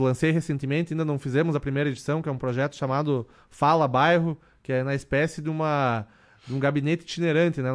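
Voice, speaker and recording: Thirty-something man, measured radio guest. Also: a little high. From southern Brazil. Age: 30s. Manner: measured, many fillers and restarts. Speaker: city councilman, radio guest. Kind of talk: radio interview answers.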